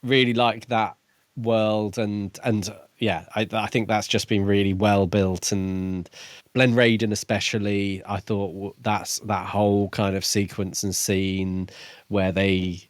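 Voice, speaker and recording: A man with a slightly low voice co-hosts a relaxed book discussion. He speaks in a measured, hesitant way while thinking aloud, recorded dry with little room sound. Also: no room sound. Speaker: podcast co-host.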